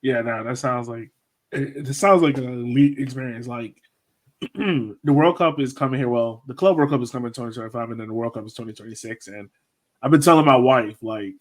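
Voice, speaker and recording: A man with a medium-pitched voice hosts a podcast in a casual, chatty style. His phrasing is loose and informal, as in a relaxed conversation between two people. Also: a little fast. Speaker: podcast host.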